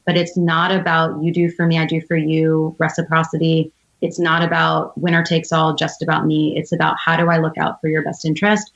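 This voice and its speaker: Female entrepreneur guest, low-pitched, earnest and articulate, conversational advice, slightly lo-fi recording. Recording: dull, band-limited audio.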